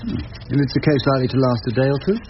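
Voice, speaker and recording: An actor playing a wry, irreverent older English barrister, droll and wordy, in radio drama dialogue and narration. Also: male voice, mid-range voice, fairly even intonation, very fast, lightly acted. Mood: breezy. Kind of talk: radio drama acting and first-person narration. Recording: muffled radio broadcast, faint noise.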